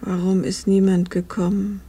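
An actress playing a devoted, grieving middle-aged wife in a 1950s German radio drama, her voice breathy and hushed, her phrases halting. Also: mid-range voice, little pitch movement, lightly acted. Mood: numb grief. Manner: slow, halting, repeating phrases.

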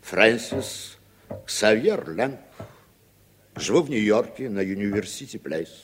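An actor playing a wry, educated middle-aged playwright, measured and courteous, in 1960s Soviet radio drama style. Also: male voice, lowish voice, lively intonation, slight background noise. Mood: wry, composed.

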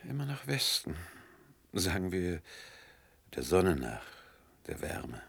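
Gravelly, menacing gentleman drifter. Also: low voice, very animated, takes it slowly, dry recording, heavily acted. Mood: insinuating, menacing. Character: cool, menacing gentleman drifter, male hotel guest. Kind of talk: German radio drama acting.

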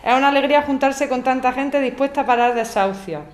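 A woman playing a practical, organised activist who briskly addresses a group in an audio drama. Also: high voice, lightly acted. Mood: brisk, organising. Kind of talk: scripted amateur radio drama.

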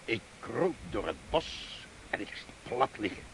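A rough, cheeky Cossack scout in a radio drama, boasting like a country storyteller. The tone is sharp and forceful with very lively pitch, over a slightly noisy recording. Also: male voice, a little slow. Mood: boastful. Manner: boastful, rustic storytelling with feigned innocence. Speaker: actor in a radio play. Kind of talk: Dutch radio drama acting.

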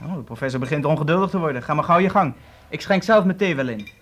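Man playing eager helpful inventor's assistant, talks fast and lively, polite, kids radio play, really noisy behind. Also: on the high side, close to an everyday voice. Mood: impressed. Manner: polite, chatty, curious. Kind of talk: children's radio play acting.

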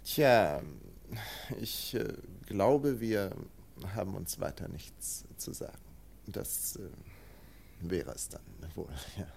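An actor playing a haughty, grandiose English lord in a radio drama, speaking with aloof, deliberate theatricality. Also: male voice, lowish voice, sing-song, creaky, background noise, full character voice. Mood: haughty, decisive. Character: haughty, theatrical English lord, smooth self-appointed benefactor.

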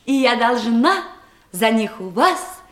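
A spirited young bride in a stage-style radio comedy. Her high voice swoops and lilts as she pleads her case in playful, coy verse, and the room rings lightly around her. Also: sing-song, slow, a bit bright, exaggerated voice. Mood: coy, merry. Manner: declamatory, playful, eager to please. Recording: roomy archive radio play recording.

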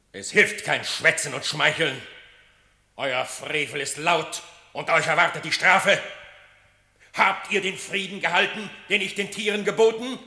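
A man's voice: high voice, sharp tone, exaggerated voice. An actor playing a stern, angry animal king in a radio play, declaiming accusations in commanding verse. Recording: roomy echo.

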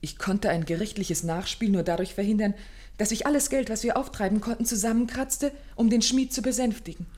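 A woman's voice: medium pitch, brisk, warm tone, lightly acted. A woman acting as a distressed young lady of good family, with a mid-range voice. Her phrasing is formal and dramatic, with an anxious, pleading edge. It is classic radio-play acting. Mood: fearful.

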